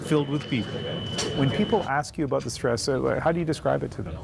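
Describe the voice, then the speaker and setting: A man's voice: mid-range voice, fast, vocal fry, noisy background. A man with a Canadian accent narrating a scripted news feature as a reporter.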